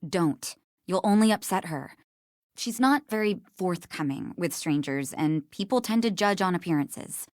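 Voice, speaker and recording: An actress voicing an earnest, guarded young museum worker in a video game, speaking emphatically and protectively. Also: female voice, lowish voice, expressive, a little slow, no room sound, clean studio sound. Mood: defensive, tense.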